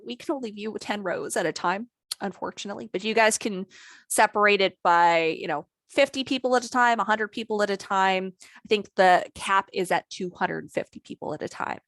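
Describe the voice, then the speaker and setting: A woman trainer talking through a software tutorial in a casual, conversational way, her voice a little worn today. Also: mid-range voice, no room sound. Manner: conversational, lots of "maybe" and "you know". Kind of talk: screen-share software tutorial.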